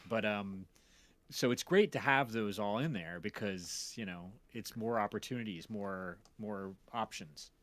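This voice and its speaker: A man in casual conversation as a co-host, talking in a halting, reflective, self-correcting way. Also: lowish voice, a bit bright. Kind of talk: casual conversation about audio mixing.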